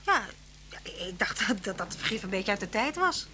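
A woman's voice: mid-range voice, very animated, normal speed, pushed and bright. An actress playing a hospitable, chatty woman in a naturalistic radio drama. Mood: musing. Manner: chatty, solicitous. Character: hospitable, chatty woman living alone in cottage. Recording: noisy old radio drama recording.

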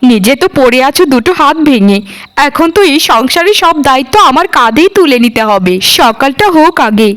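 A woman's voice: sits high, expressive, fairly quick, fairly bright tone. A woman voicing a greedy, nagging village wife in a cartoon, scolding and melodramatic. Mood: bitter. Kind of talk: Bengali cartoon voice acting. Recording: music behind the voice. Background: music.

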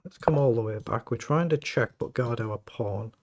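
A middle-aged man with a croaky voice, thinking aloud as he plays fast chess and comments on each move. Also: mid-range voice, background noise. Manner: thinking aloud, muttering over moves. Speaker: chess streamer. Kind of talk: live game commentary.

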